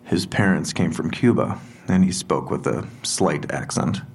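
An actor playing a steady, probing police detective in an audio drama, with measured, businesslike questioning. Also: male voice, low-pitched, vocal fry, slight background noise.

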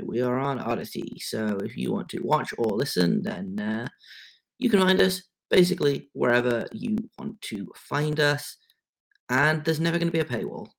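A man's voice: medium pitch, creaky. An English man hosting a sports podcast, talking casually with many fillers and restarts. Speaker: podcast host.

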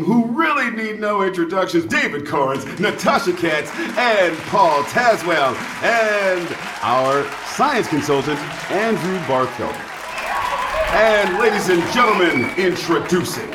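A man's voice: sits high, expressive, slow pace, noisy background. A man playing a smug, self-important Broadway producer in an audio comedy, boastful and condescending. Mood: boastful, showy. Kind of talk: scripted audio comedy acting. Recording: roomy, music and crowd behind.

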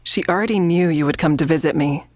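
A woman playing a guarded, earnest woman in an audio drama, speaking in a measured, evasive way. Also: sits low, darkish tone, no echo. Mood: guarded, insistent. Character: guarded, earnest woman negotiating with police, also narrating. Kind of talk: naturalistic audio drama acting and narration.

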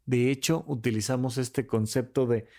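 A man hosting a podcast, talking casually about relationships and acting out bickering couples in quick quoted voices. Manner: animated, swings between high and low pitch. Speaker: podcast host.

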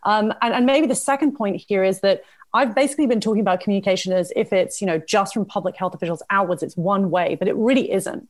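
List: woman, British accent, rapid speech, articulate, panel discussion, video call. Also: medium pitch. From United Kingdom. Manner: brisk, articulate, laughing asides. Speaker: panelist on public communication.

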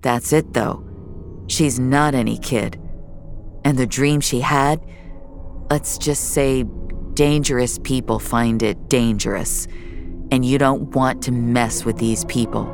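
Gruff, very low-voiced regretful male mentor played by a woman. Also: fairly even intonation, slow, a little mellow, faint music behind, exaggerated voice. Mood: grave, insistent. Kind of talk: audio fiction acting.

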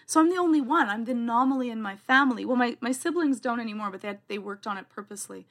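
A Canadian woman, a therapist, co-hosting a casual chat podcast, bubbly and quick to laugh. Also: fairly high pitch, a bit bright.

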